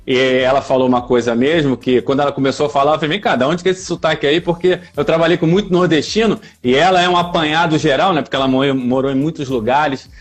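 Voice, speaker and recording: A man hosting a podcast, introducing his guest with enthusiastic, effusive praise. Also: medium pitch. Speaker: podcast host.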